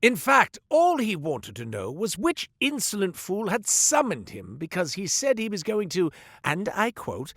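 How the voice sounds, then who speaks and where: A man's voice: high voice, wildly swooping pitch, unhurried, a bit bright, dry recording, clean studio sound. A man voicing a chatty, eccentric male merchant in a game, telling tall tales with comic asides in a theatrical manner. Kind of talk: scripted game voice lines.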